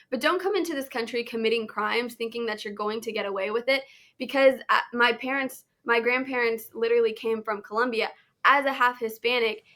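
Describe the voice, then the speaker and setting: A woman's voice: on the high side, a bit bright. A young American woman, a podcast guest, giving her opinions in an earnest, emphatic way. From United States. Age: teens. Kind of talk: podcast interview.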